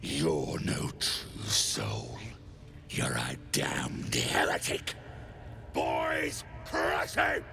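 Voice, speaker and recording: A man voicing a brutish goblin thug in a video game, with a growling, rough and slangy delivery. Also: rumbling low, expressive, very slow, pushed and bright, raised voice, slight background noise, heavily acted. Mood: menacing. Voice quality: gravelly, growling. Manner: rough, slangy, swinging into barks. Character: brutish gravel-voiced goblin thug loyal to a cult. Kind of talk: video game character acting.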